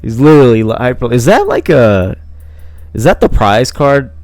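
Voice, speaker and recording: A man's voice: medium pitch, very animated, moderate pace, a little mellow, no echo, studio quality. A man giving casual play-by-play commentary on a card game match, thinking aloud with frequent fillers. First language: not Italian.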